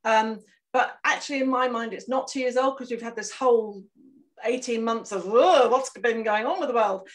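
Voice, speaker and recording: A woman's voice: on the high side, moderate pace. A woman author chatting on a video call from home, talking casually with many fillers. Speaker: author and networking guest.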